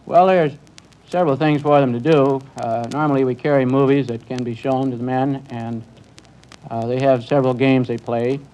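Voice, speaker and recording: An American man speaks as a naval commander being interviewed on a quiz show. He is polite and earnest, choosing his words in a measured way at a middling pitch. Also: steady tone, mellow, rough recording.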